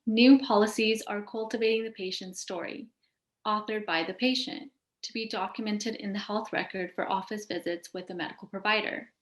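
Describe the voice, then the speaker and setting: A woman's voice: medium pitch, fairly even intonation, moderate pace. A woman hosting a panel, reading out scripted interview questions. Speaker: host asking questions of a panel. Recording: roomy, echoing sound.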